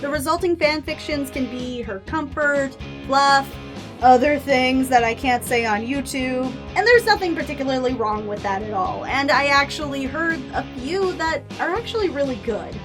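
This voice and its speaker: A woman's voice: sits high, normal speed, over music. A woman hosting a scripted video essay, with an informal, opinionated and playfully emphatic manner.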